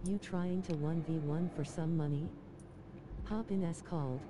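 A woman's voice: low-pitched, steady tone, moderate pace, noisy background. A woman's text-to-speech voice reading livestream chat messages aloud.

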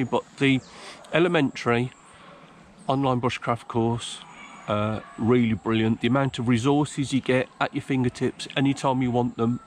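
A man's voice: medium pitch, slight background noise. An older man talking casually to camera in a woodland, rambling with many ums and restarts. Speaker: outdoors vlogger. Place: outdoors in a woodland. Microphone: camera a few feet away.

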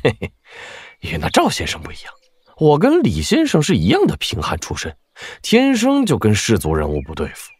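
An actor playing an obese, fawning general with a folksy, self-deprecating manner and a husky voice in a Chinese wuxia audio drama. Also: male voice, medium pitch, huge pitch swings, normal speed, no room sound. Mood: wry, reflective. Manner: obsequious, chuckling, sighing, folksy.

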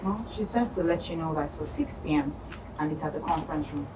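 An actress playing a matter-of-fact office assistant relaying orders from the boss in film dialogue. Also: female voice, deep voice, a little fast, a little mellow, natural voice. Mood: detached. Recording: distant mic, clear background noise.

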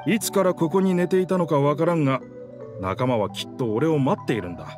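A man's voice: on the high side, a little fast, music in the background, natural voice. A man voicing a proud talking pirate ship in a video game, with boastful, theatrical, old-fashioned speech. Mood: earnest, wistful. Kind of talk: Japanese video game character dialogue.

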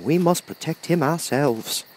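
A man voicing a gentle, protective man made of tin in a storybook. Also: on the high side, unhurried, a little hiss or hum, voice pushed up, lightly acted, rough recording. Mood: reassuring. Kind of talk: storybook character voice.

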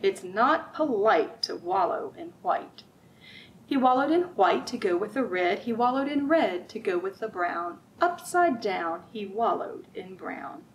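A middle-aged woman reading aloud at a children's storytime, expressive and sing-song, drawing out rhymes and putting questions to young listeners. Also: mid-range voice, a little slow, slight background noise, rough recording. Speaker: children's librarian, storytime host. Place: library room with bookshelves, indoors.

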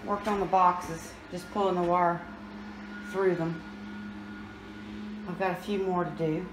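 A woman's voice: lowish voice, fairly even intonation, unhurried. Echoey woman, casual. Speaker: home renovation vlogger. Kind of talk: casual walk-through narration. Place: unfinished house under renovation, indoors. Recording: echoey bare rooms, background noise.

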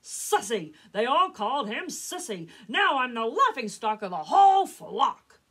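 A woman voicing a stern, proud father duck who hollers and blusters in a husky voice. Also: mid-range voice, expressive, measured pace, a bit bright. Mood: outraged.

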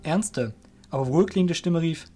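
Slightly high-voiced male story narrator. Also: normal speed, over music.

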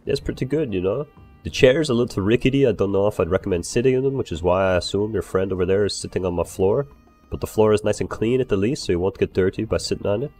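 A man's voice: on the low side, quick pace, warm tone, faint music behind, lightly acted. A man improvising an eager, nervously fawning barkeeper in a game role-play, rambling and swearing as he talks. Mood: eager, chatty. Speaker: role-player playing a bar owner.

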